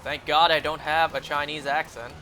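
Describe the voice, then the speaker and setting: A man's voice: fairly high pitch, little pitch movement, normal speed, bright, forceful tone, soft background music. A young man with a North American accent chatting casually and in a rambling way while streaming a video game, speaking into a close mic.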